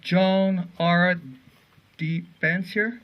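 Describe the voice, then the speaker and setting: A man with an English accent speaking French, slowly and solemnly reading a list of names of the fallen outdoors. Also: sits high, fairly even intonation, highs cut off, slight background noise. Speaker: name reader at a memorial. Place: outdoors at a memorial.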